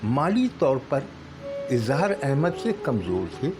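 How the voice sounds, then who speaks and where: A man reading short stories aloud as a storyteller, with measured, deliberate phrasing and pauses. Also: medium pitch, very animated. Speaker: storyteller and channel host. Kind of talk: dramatic Urdu short story narration. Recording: music bed under the voice. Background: background music.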